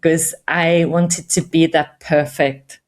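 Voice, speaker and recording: A woman's voice: low voice, steady tone, slow pace. A woman with a Finnish accent, a meditation guide speaking candidly and reflectively in an interview. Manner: reflective, candid, frequent light laughs. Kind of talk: conversational interview.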